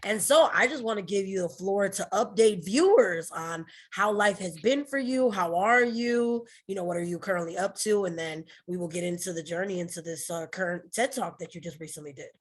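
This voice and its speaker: A woman's voice: mid-range voice, a little fast, few pauses, slightly rough. A woman hosting an interview, welcoming her guest and handing her the floor with friendly questions. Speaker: show host.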